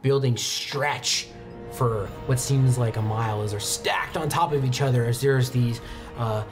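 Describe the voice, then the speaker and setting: A man in his thirties narrating a tabletop roleplaying game as game master, improvising descriptions haltingly. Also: medium pitch, music in the background. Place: indoor studio set, behind a game master screen.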